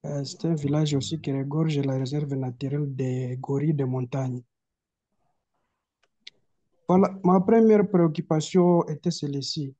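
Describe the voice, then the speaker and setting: A man from the DR Congo, asking two formal questions over a video call in a measured, orderly way. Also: a little high, mellow, muffled recording. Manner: measured, orderly, with filler "euh". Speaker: audience member asking questions. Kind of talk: formal question in an online talk.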